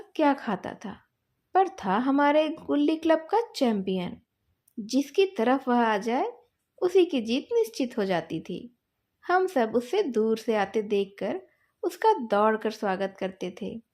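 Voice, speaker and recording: A woman tells a story in the first person. Her voice sits very high and swings widely in melody, while the delivery is unhurried, like bedtime narration. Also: a little mellow.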